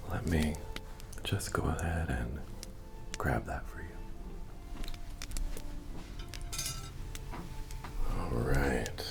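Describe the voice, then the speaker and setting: A man acts out a friendly medieval tavern keeper in a soothing ASMR roleplay. His voice is remarkably deep and soft, slipping toward a murmur or whisper. He takes his time over every phrase, chatty and hospitable, with faint bard music behind him. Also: creaky, heavily acted. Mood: savouring, soothing. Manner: unhurried, hospitable, murmuring to a guest.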